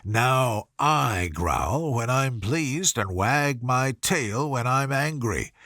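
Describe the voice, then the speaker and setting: A man voicing a sly, grinning talking cat from a storybook, languid and calmly teasing. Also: medium pitch, slow pace, no room sound, clean studio sound, full character voice. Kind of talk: storybook character voice.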